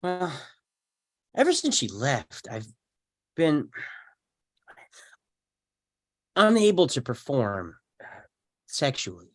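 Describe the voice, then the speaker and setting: Bitter, awkward sitcom divorcee, acted by a man, halting delivery, lively intonation. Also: on the high side, no room sound, full character voice. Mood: embarrassed. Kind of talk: sitcom script table read.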